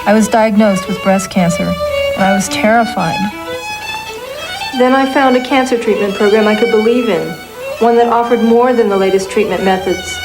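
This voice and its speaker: A woman's voice: mid-range voice, moderate pace, rough recording. A woman giving a scripted first-person testimonial for an advertisement, with strings playing behind her. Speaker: testimonial speaker. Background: loud violin and string music.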